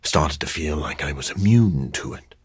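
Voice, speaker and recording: An actor playing a brooding, courteous scientist turned vampire in a video game, speaking formally with dry wit and a gravelly, husky voice. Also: male voice, a bass voice, animated. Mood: grave, regretful. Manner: formal, measured, dryly witty. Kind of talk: video game character voice acting.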